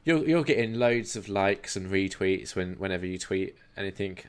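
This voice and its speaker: A man hosting a podcast in casual chat, asking prompting questions and dropping in quick agreements. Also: sits low, lively intonation, crowd noise. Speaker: podcast host.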